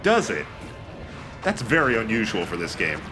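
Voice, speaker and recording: A man co-commentating on a video game in a casual style, making deadpan jokes. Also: on the low side, moderate pace, music in the background. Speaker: let's play co-commentator.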